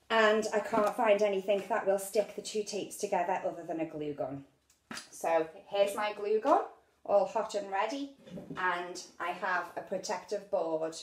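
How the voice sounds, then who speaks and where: A woman's voice: mid-range voice, slight background noise. A middle-aged British woman casually presenting a hands-on craft demonstration, talking as she works with her hands. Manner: unhurried, talking while working with her hands. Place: indoors at a dining-room table at home. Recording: echoey domestic room.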